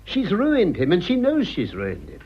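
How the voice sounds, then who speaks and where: Suave old English cynic, raspy, sardonic, radio play, slightly muffled sound. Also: male voice, high voice, wildly swooping pitch, normal speed, full character voice. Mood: scornful. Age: older. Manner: worldly, sardonic, polished. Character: cynical, urbane old English gentleman, amused and cutting. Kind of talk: radio drama acting.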